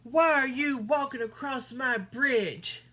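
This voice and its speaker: A woman voicing a hideous, stinky male troll under a bridge in a storybook, drawn-out and roaring. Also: sits high, pitched above the performer's own voice, exaggerated voice. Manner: slow, loud, roaring.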